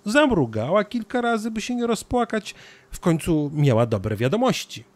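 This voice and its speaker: A man narrating a scripted children's story as an expressive storyteller. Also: high-pitched, normal speed.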